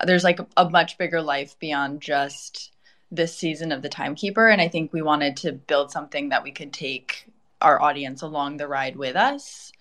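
Woman with a lowish voice, startup cofounder just chatting in an interview. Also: fairly even intonation, moderate pace, fairly bright tone. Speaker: guest, project co-founder. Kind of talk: casual interview talk.

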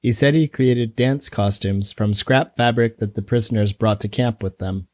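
A man narrating a documentary in a measured, even scripted delivery. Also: on the low side. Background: background music.

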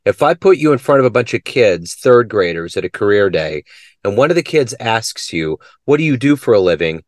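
A male interview host asks questions in a curious, upbeat way, his pitch moving freely. His voice sounds dry and close, with no room around it. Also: big pitch swings, brisk, no room sound. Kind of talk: conversational interview.

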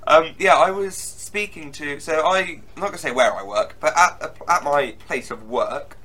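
A young man hosting a podcast, chatting eagerly with quick restarts. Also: a little high, pushed and bright, rough recording. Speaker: podcast host. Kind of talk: casual chat.

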